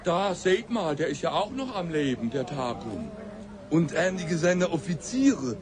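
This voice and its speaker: High-pitched excitable captive politician. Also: male voice, a little slow, crowd noise. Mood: anxious. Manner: colloquial, exclamatory. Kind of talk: comic radio play acting.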